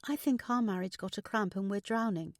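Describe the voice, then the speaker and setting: A woman's voice: mid-range voice, fairly quick, no room sound, studio quality, pitched above the performer's own voice, lightly acted. A woman voicing a fragile, anxious married woman in an audiobook, hesitant, emotional and vulnerable. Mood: sad, confiding. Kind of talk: audiobook character dialogue.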